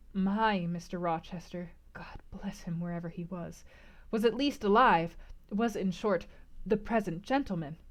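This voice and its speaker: A woman reading literary prose aloud as a book club host, with theatrical, emphatic phrasing. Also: mid-range voice. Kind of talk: dramatic audiobook-style literary narration.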